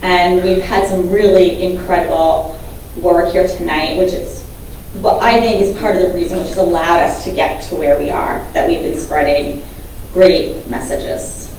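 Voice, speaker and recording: A woman's voice: fairly low pitch, moderate pace. Woman presenting awards in an echoey hall. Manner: loud, chatty asides between announcements. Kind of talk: reading award winners at a banquet. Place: large banquet hall. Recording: echoey hall from far off, noisy.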